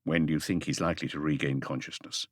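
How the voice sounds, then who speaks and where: Man reading as a deep, terse police chief inspector. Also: fairly even intonation, quick pace, dry recording, clean studio sound. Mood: polite, restrained. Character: calm, authoritative male police chief inspector, courteous and terse. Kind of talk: audiobook character voice.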